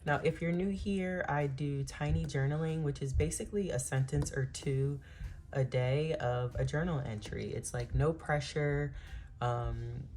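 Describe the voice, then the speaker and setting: A woman in her thirties talks through her digital planning in a relaxed, rambling voice-over full of ums and asides. Her voice sits very low, and she sounds a little stuffy from a cold. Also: measured pace, slight background noise. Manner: chatty, many ums, Southern-style "y'all". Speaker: planning channel host.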